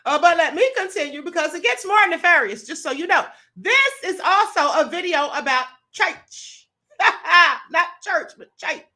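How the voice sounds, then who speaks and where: Host, woman, sassy video commentator; high, lively, bright voice. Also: moderate pace. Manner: sassy, animated, laughs often. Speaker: show host. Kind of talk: commentary reacting to viral videos.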